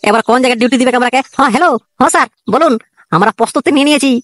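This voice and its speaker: A voice artist voicing two jittery young male soldiers in a comic cartoon, with a Purulia Bengali accent and rapid, chatty banter. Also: sits high, fairly bright tone, no room sound, soft background music.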